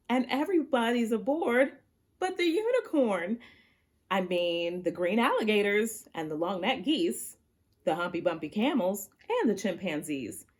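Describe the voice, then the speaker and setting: Animated woman reading to children. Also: medium pitch, slightly rough. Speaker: teacher reading aloud to children. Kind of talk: expressive children's poem read-aloud.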